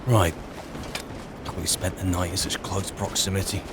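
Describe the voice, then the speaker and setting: Guy roleplaying a adventurer in a fantasy game, low voice, wry muttering to himself, noisy ambience behind. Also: level tone, moderate pace, close to an everyday voice. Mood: musing. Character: wry adventurer musing aloud on a mountain trek. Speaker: player roleplaying a game character on a let's play channel. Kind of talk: in-character improvised game narration.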